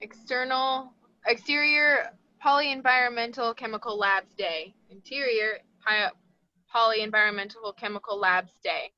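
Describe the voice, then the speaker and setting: Bright, higher-pitched woman narrating stage directions. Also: measured pace, lo-fi sound. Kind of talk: reading screenplay directions at a table read.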